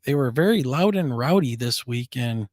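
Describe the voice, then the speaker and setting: A man's voice: mid-range voice, expressive, moderate pace, a little mellow, dry recording, clean studio sound. A man co-hosting a casual live-streamed talk show, chatty and upbeat, his voice scratchy and worn. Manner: upbeat, chatty, laughs easily. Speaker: co-host of a paranormal talk show.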